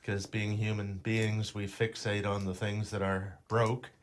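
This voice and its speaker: A man talking casually as a guest landscaper, explaining his work thoughtfully with pauses. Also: on the low side, flat delivery, slight background noise. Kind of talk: casual interview talk.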